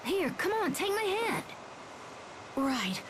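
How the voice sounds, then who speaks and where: A woman's voice: extremely high pitch, big pitch swings, slow pace, fairly bright tone, slight background noise, full character voice. A woman playing an eager, friendly young boy in an English-dubbed anime game. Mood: upbeat.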